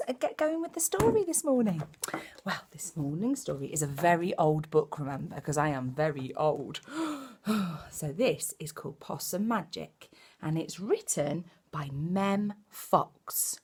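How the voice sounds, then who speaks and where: A woman reading a picture book to children like a primary teacher, expressive and exclaiming, with chatty asides. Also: fairly low pitch, breathy edge. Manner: expressive, exclaiming, with gasps and hushed dramatic moments.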